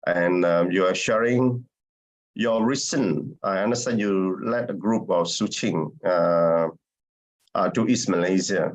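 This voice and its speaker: A man moderating an online session with a fairly low voice. He introduces the next speaker haltingly, leaning on repeated ums and uhs. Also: rough recording. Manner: hesitant, repeated fillers.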